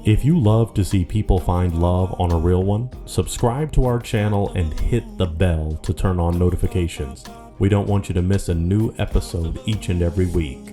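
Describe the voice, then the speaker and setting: Man, promo voice-over, very deep and dark, music bed. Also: normal speed.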